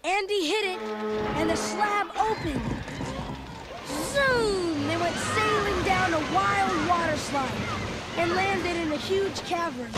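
A performer playing an excitable young boy who tells his adventure as animated, dramatic read-along storybook narration. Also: extremely high pitch, slow, over a noisy crowd. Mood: excited storytelling. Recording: loud music under the voice. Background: loud dramatic music.

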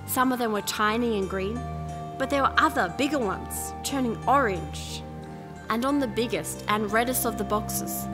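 A woman's voice: mid-range voice, lightly acted. A young woman playing a plucky, flippant princess in an audio drama, speaking in a chatty, wry, informal way. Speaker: lead actor and narrator. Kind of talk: audio drama, first-person narration and dialogue. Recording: music under the voice.

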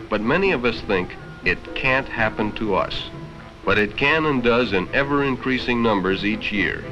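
An American man narrating a 1960s educational film from a script in a stern, lecturing, condescending manner. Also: fairly low pitch. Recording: dull vintage film soundtrack with music.

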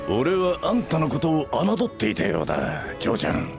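An actor playing a boisterous middle-aged father and company head in a game, gruff and rough-spoken. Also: on the high side, huge pitch swings, moderate pace, heavily acted. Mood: admiring. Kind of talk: Japanese game dub acting. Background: game music.

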